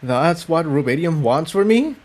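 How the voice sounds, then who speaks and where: A man's voice: fairly high pitch, lively intonation, a little slow, a little mellow. A man voicing an intense, shaken young pony in a fantasy story, with an urgent, questioning manner. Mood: horrified.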